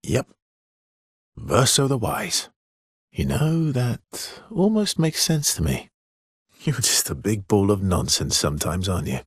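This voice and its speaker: A man voicing a wry, guarded adventurer with a soft heart in a video game, gravelly and halting, with a teasing way of talking. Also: fairly low pitch, no room sound, studio quality, full character voice. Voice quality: husky, gravelly. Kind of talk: naturalistic game dialogue acting.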